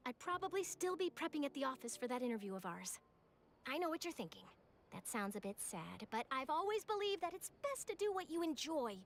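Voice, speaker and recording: High-pitched eager young woman reporter. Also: a little hiss or hum. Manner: enthusiastic, articulate, theatrical. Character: bright, eager young female journalist, passionate and upbeat. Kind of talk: anime game voice acting. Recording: background music under the voice.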